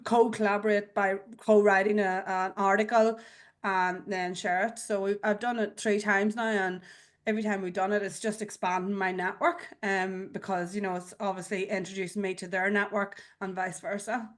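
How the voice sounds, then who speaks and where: A woman's voice: mid-range voice, little pitch movement, moderate pace. A woman with a head cold, sounding stuffed up, introducing her training work on a video call with many ums. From Australia. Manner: fluent, with frequent ums and throat clearing. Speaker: cybersecurity trainer and speaker.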